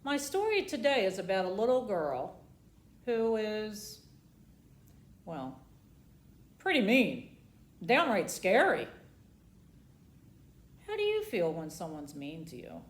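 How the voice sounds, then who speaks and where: A woman's voice: mid-range voice, sing-song, slow, long pauses. A woman reading a children's storybook aloud as a library storytime host, theatrical and often putting questions to young listeners. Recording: echoey room.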